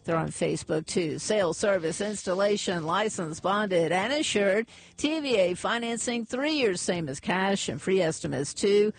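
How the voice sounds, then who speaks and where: A woman's voice: medium pitch, expressive. Chatty radio host woman. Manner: chatty, laughs easily, reads ads and community notices briskly. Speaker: morning radio show host. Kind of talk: casual on-air chat and live sponsor reads. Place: radio studio. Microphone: studio microphone.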